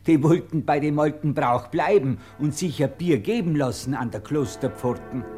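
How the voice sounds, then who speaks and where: A man's voice: a little high, normal speed, dark tone, faint music behind, lightly acted. An actor as a folksy Bavarian countryman. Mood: matter-of-fact. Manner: folksy, matter-of-fact storytelling. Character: plain-spoken rustic Bavarian male witness. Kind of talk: German radio play acting.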